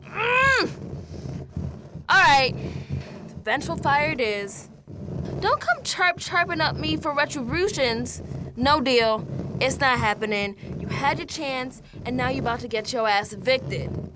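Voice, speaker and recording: A woman's voice: sits high, unhurried, noisy background. An actress playing an exasperated, sassy young American woman in a colloquial radio drama monologue, slangy and confessional. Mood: threatening, indignant. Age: young adult. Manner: slangy, sassy, animated, sighing asides.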